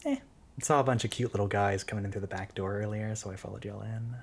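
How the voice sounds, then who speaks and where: A man's voice: on the low side, steady tone, fast talker, slight background noise, natural voice. A man voicing a laid-back, unbothered stray intruder in an audio roleplay, casual and mumbling.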